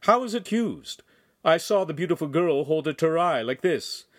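Arrogant young noble for period storybook, played by a man: sneering, leering, short-tempered, raised pitch. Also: lively intonation, moderate pace, fairly bright tone, no echo, clean studio sound. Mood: insistent, haughty. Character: arrogant, lecherous, quick-tempered young Italian nobleman on horseback.

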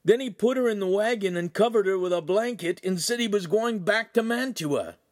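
A man voicing a frightened, naive young peasant squire in an audiobook, pleading and breathless with rough, uneducated speech. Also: sits high, quick pace, no echo, studio quality, pitched above the performer's own voice. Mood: frantic babbling. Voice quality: strained, breathless.

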